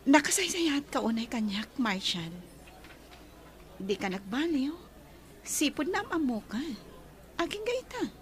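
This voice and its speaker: An actress with an Ilocano accent playing a gentle young woman in love, speaking softly and earnestly. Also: fairly high pitch.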